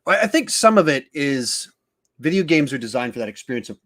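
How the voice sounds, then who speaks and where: A man's voice: medium pitch, very animated, a little fast, fairly bright tone, slight background noise. A man in his forties hosting a podcast, talking casually and explaining his points with emphasis on key words. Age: 40s. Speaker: podcast host. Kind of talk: casual film discussion podcast.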